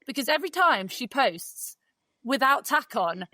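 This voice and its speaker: A woman's voice: high voice, very animated, measured pace, sharp tone, no echo. A woman hosting a podcast, bantering and teasing, swearing casually. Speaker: podcast host.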